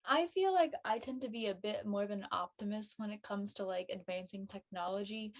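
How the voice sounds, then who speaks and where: A woman's voice: mid-range voice, a little fast. A woman talking casually as a panelist, reflective and a bit tentative about her opinions.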